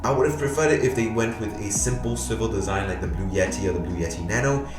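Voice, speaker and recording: A man with a low, booming voice that is a bit hoarse talks through a gear review with dry sarcasm. He sounds tired late at night, speaking close to a condenser mic in an echoey room while music plays clearly behind him. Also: fast. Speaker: tech reviewer. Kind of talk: casual product review. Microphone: USB condenser microphone close-up.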